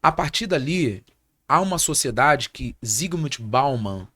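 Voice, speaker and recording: A man's voice: medium pitch, lively intonation, unhurried, a bit bright. A man in his thirties with a Brazilian Portuguese accent, a pastor speaking as a podcast guest in a didactic, sermon-like way, repeating key points for emphasis. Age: 30s. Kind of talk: podcast interview with sermon-like teaching.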